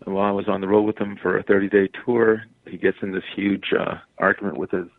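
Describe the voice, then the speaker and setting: A man speaks over a narrow, tinny phone line with no room sound. His voice sits fairly low, and he tells stories in an easy, conversational style as an interview guest. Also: little pitch movement, quick pace, dry recording. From Canada. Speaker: interview guest, stage entertainer. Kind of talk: casual conversational storytelling.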